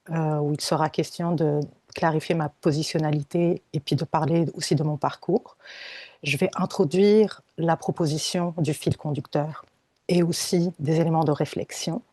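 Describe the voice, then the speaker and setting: A woman's voice: on the low side, warm tone, no room sound. A middle-aged woman from Tunisia giving an academic talk over a video call, careful and hesitant, with many fillers and restarts. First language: Arabic. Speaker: guest lecturer, anthropologist. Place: home or office on a video call.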